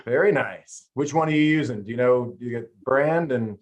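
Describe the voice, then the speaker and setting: A man's voice: medium pitch, moderate pace, highs cut off. A middle-aged man hosting a podcast, chatting casually and rambling into playful stories. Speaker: podcast host. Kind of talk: casual interview chat.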